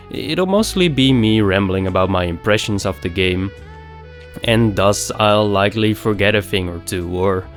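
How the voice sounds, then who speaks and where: Young man, nasal cold voice, low-pitched, rambling casual review, light swearing, faint background music. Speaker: YouTube game reviewer.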